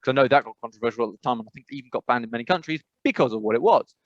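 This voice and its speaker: A man with an English accent co-hosting a podcast, rambling and animated, with emphatic repetitions. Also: mid-range voice, rapid-fire, no room sound, muffled recording. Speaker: podcast co-host. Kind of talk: casual chat.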